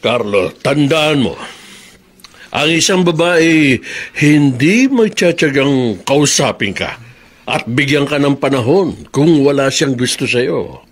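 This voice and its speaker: An actor playing a frank, wise confidant in a radio drama, deliberate and emphatic. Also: male voice, medium pitch, animated. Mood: advising. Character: wise male confidant giving slow frank advice.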